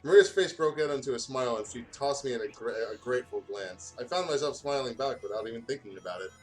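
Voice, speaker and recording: A man's voice: mid-range voice, animated, fast, faint music behind, rough recording. A man who hosts let's plays, reading fan fiction aloud and chatting casually. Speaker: let's play host.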